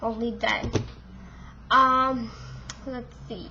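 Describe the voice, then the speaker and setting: Congested young woman, higher voice, steady tone. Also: very slow, highs cut off, slight background noise. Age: 20s. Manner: chatty, frank, many fillers. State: congested and losing voice. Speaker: vlogger. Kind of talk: casual talk to camera.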